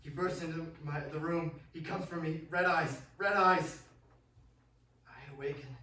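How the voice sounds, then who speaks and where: An actor playing a terrified young Englishman who recalls horrors in a halting, repetitive radio-drama delivery. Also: fairly high pitch, close to an everyday voice. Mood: mounting panic. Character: terrified young Englishman recalling a vampire's castle under hypnosis. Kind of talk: stage-like radio drama acting. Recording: roomy, distant mic, faint noise.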